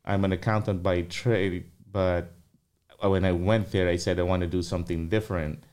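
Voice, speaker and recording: A man's voice: deep voice, fairly even intonation, moderate pace, darkish tone, smooth. A middle-aged man from the Philippines, a restaurant owner being interviewed, talking casually with many fillers and restarts.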